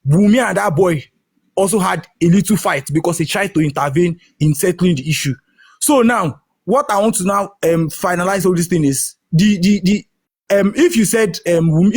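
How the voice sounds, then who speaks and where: A man's voice: sits high, husky. A Yoruba-speaking man with a Nigerian English accent, insistently explaining his view in a heated online discussion and often restarting his sentences. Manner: insistent, repeats and restarts, "hold on". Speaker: participant in an online audio discussion. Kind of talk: heated casual debate.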